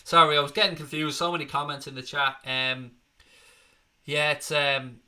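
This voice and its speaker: A man's voice: on the high side, little pitch movement, moderate pace, bright, forceful tone. A young Irish man hosting a fan live stream, chatting bluntly and swearing casually as he reads out viewer comments. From Ireland. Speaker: fan channel host.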